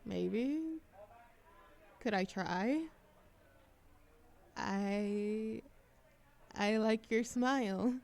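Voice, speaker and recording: A woman's voice: a little high, extremely slow, creaky, long pauses, a little mellow, exaggerated voice. A woman playing a shy, socially anxious young woman in a café audio roleplay, chatting in a hesitant, self-deprecating ramble. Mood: awkward, musing. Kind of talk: roleplay ASMR audio drama, conversational monologue.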